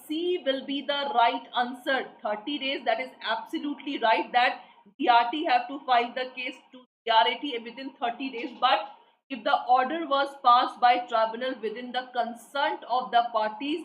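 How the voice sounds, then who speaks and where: An Indian English woman tutor runs a live online class, repeating each question and pressing students for the answer. Her voice sits a little high. It is recorded in an echoey room with faint hiss behind it. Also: fairly bright tone. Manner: repetitive, prompting students for answers.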